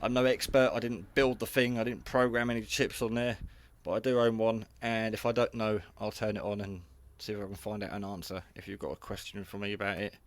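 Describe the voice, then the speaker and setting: Man, lowish pitch, casual hobbyist reviewer, unscripted, rambling, matter-of-fact, modest. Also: little pitch movement, a little fast, hardly any pauses.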